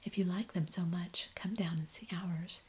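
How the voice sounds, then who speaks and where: A woman whispering as a lively teenage boy. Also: fairly low pitch, normal speed, a little hiss or hum, natural voice. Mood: eager whisper.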